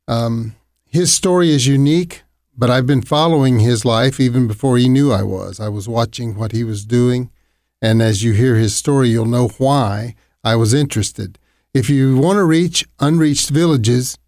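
A man leading a ministry, sharing how it began in earnest, halting speech. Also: medium pitch, a little mellow, dry recording, clean studio sound. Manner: earnest, halting, with fillers and restarts. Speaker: ministry leader, co-host. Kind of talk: sharing a ministry's origin story.

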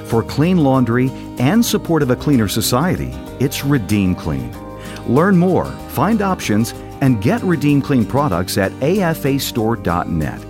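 A man's voice: medium pitch, sing-song, a little slow. A man reading a scripted radio advert as its announcer. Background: music.

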